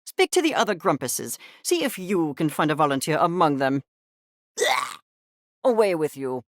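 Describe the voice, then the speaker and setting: Woman doing a snooty obsessed little scientist critter from a video game, swoopy pitch, super wordy and impatient, bone dry sound. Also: very animated, moderate pace, no echo, close to an everyday voice. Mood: curt, condescending. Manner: pompous, clinical, wordy, precise diction. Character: arrogant, obsessed mad-scientist furry creature, pompous and impatient. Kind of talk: theatrical game character acting.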